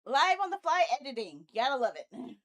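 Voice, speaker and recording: A woman's voice: sits high, sing-song, moderate pace. A woman hosting a livestream, chatting casually and playfully and talking to herself as she sorts out her setup. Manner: playful, talks to herself while troubleshooting. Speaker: live streamer host. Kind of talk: casual livestream chat while setting up a game.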